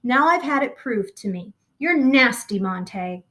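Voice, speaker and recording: A woman doing shrill indignant Mrs Bowles. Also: animated, measured pace, bright, forceful tone. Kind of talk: audiobook character voice.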